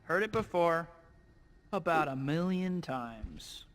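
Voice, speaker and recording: An actor playing a wisecracking young superhero in a video game, plucky and sarcastic, talking to himself in quips. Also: male voice, on the high side, expressive, slow, fairly bright tone. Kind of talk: video game hero acting.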